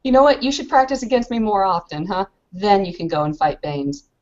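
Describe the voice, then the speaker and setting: A woman's voice: mid-range voice, expressive, fairly quick, a little mellow, dull audio, lightly acted. A woman roleplaying a brash, foul-mouthed female fighter who mocks and goads in a tabletop game. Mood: mocking, goading. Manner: mocking, goading.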